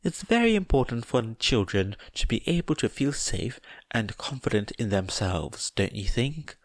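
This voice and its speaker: A man's voice: mid-range voice, big pitch swings, moderate pace, dry recording, close to an everyday voice. A man voicing a small, genteel animal school principal, cheerful, formal and politely cunning, in an audiobook. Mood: gently firm.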